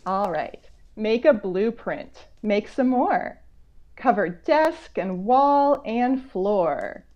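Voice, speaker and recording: Woman, medium pitch, children's author read-aloud, measured storytelling with chatty asides, muffled lo-fi online call sound. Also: expressive. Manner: slow, measured reading broken by conversational commentary. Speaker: children's author reading her picture book aloud. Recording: dull, lo-fi online call audio.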